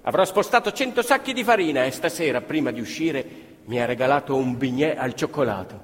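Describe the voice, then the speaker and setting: An actor playing a poor, scrappy boy on stage, lively and mocking. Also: mid-range voice, fairly quick, lightly acted. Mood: boastful. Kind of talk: stage theatre acting. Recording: live stage recording in a reverberant theatre.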